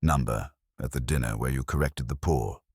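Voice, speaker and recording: Guy doing pompous genius detective, terse and disdainful, really deep husky voice, audiobook style. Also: moderate pace, a little mellow, dry recording, clean studio sound, exaggerated voice. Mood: probing. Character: imperious, brilliant male detective, unhurried and disdainful.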